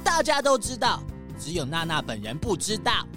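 A woman's voice: medium pitch, very animated, normal speed, over music, close to an everyday voice. A woman playing a tattling, insistent animal schoolchild in a children's radio drama. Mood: gossipy, accusing.

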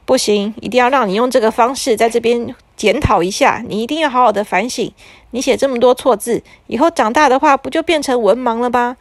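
A woman's voice: on the high side, a little fast, no echo, close to an everyday voice. A woman voicing a stern magician who lectures in a reproachful tone, with a Taiwanese Mandarin accent. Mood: scolding.

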